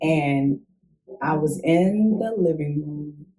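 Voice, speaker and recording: A young woman talks through her childhood memories in an easygoing interview. She is animated, laughs often and acts out what she felt back then. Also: sits low, takes it slowly, low-quality recording. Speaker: interview guest. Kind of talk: casual conversational storytelling.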